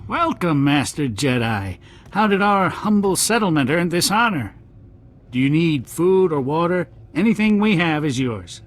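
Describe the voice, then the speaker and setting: An actor playing a humble, hospitable settler in a video game, with a deferential, welcoming manner. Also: male voice, a little high, sing-song, a little slow. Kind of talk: video game cutscene acting.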